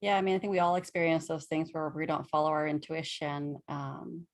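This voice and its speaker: Earnest low-voiced woman coach. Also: little pitch movement, a little fast. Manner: earnest, conversational, many fillers and self-quotes. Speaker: podcast guest, self-trust coach. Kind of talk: casual podcast conversation.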